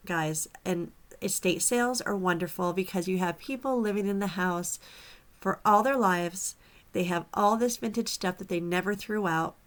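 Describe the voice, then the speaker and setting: A woman's voice: medium pitch, a bit bright. A woman from Upper Michigan with a mixed Upper Michigan and Southern Florida accent, chatting casually to her viewers about reselling. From Upper Peninsula of Michigan. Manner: chatty, friendly, addresses viewers as y'all. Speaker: reseller vlogger. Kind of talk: casual direct-to-camera vlog.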